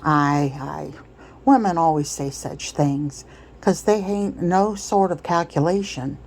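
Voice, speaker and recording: A woman's voice: low voice, slow pace, a little mellow, full character voice. A woman voicing a coarse, pushy male slave trader in a storybook read-aloud, with a wheedling, blustering, folksy rustic way of talking.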